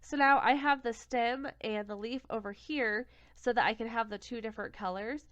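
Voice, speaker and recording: A young woman with a cold-congested voice talks through a craft software tutorial step by step, sometimes catching and correcting her own slips. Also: fairly high pitch, moderate pace, no echo. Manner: step-by-step, self-correcting, plain and friendly. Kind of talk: screen-recorded software tutorial. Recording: dull, lo-fi home recording.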